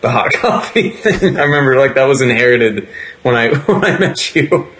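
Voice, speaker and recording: A man's voice: mid-range voice, lively intonation, moderate pace, fairly bright tone. A man co-hosting a podcast, speaking hesitantly and laughing as he chats. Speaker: podcast co-host. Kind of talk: casual podcast chat.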